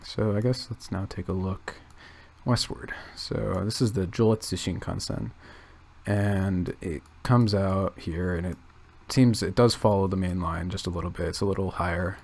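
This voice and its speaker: A man quietly thinking aloud in a gravelly low register, rambling with many restarts and fillers. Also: steady tone, normal speed. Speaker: channel host. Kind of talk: casual rambling commentary.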